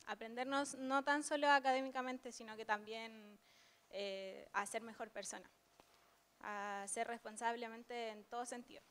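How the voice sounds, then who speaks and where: A woman's voice: a little high, fairly even intonation, normal speed, fairly bright tone. A young woman student answering a question from the audience, hesitant, speaking from far off the microphone. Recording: distant from mic in a hall.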